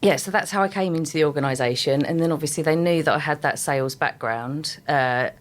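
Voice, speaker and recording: Lady on the radio, under the weather, voice gone real low, chatty and keen. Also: a little fast. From England. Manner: chatty, enthusiastic about her work. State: unwell, voice deeper than usual. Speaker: guest, sales and marketing manager. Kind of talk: radio interview guest. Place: radio studio.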